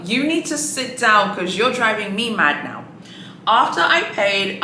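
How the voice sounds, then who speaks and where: A woman's voice: mid-range voice, reverberant, a little hiss or hum, rough recording. A woman whose native language is Swahili, commenting in a sassy, exasperated way as a luxury shopping vlogger, full of "like" and "okay". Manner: sassy, exasperated, animated, frequent likes and okays. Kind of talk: casual commentary.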